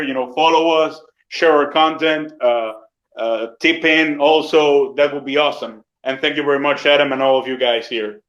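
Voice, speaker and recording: A man's voice: a little high, fairly bright tone. A man speaking as a guest on an online panel, earnestly urging listeners to support his outlet. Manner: earnest, promotional. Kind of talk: casual closing remarks on a panel. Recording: slightly dull lo-fi online audio.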